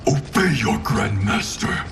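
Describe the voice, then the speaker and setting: An actor playing a cold, ambitious ninja clan grandmaster in a video game, commanding, scornful and terse, with a gravelly voice. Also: male voice, lowish voice, big pitch swings, slow pace, noisy background, exaggerated voice. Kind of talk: video game cutscene acting. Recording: distant voice under loud music.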